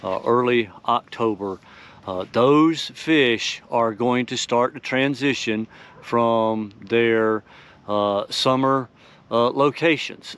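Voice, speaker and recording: A man's voice: mid-range voice, smooth. A middle-aged man hosting a fishing video from a boat on a lake, talking casually with many uhs and ums. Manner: relaxed, filler-heavy explaining with many uhs. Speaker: fishing channel host. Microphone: action camera on the boat.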